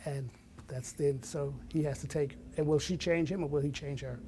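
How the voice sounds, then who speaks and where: Older man, higher-pitched voice, telling stories. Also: fairly even intonation, normal speed, dark tone, a little hiss or hum. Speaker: guest, writer and filmmaker. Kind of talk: casual interview storytelling.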